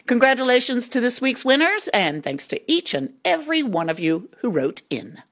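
A woman hosting a scripted radio programme in a chatty, expressive way. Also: on the high side, normal speed. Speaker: radio show host. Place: radio studio.

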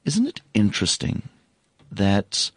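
A man with a hoarse, husky voice, speaking as a guest on a studio radio show, reflective and hesitant, with many fillers. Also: lowish voice, huge pitch swings, slow pace, no room sound. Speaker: bank executive guest. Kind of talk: casual radio chat.

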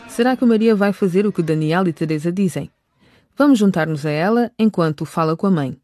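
A woman's voice: lowish voice, lively intonation, a little fast, dark tone, no echo, studio quality. A woman narrating a radio drama from a script.

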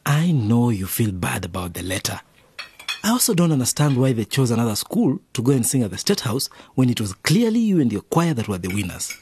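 Guy playing a soothing affectionate dad in a radio play, pitch all over, bit of background noise. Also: sing-song, brisk, darkish tone, lightly acted. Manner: tender, consoling. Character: gentle, affectionate middle-aged father, proud and soothing. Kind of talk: radio drama acting.

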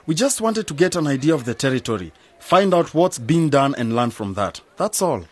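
An actor playing a wry, principled young cooperative partner in a radio drama. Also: male voice, a little high, big pitch swings, normal speed. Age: young adult.

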